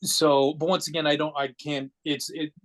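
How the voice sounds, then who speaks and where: A man's voice: on the high side, little pitch movement, normal speed. A man of forty from Connecticut with an American accent, telling personal stories casually as a podcast guest in a rambling, matter-of-fact way. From Connecticut, United States.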